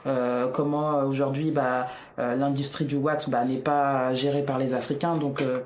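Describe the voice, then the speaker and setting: A man's voice: medium pitch, level tone, moderate pace, slight background noise. A man who is a guest in a casual discussion, speaking hesitantly with many fillers. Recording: roomy echo.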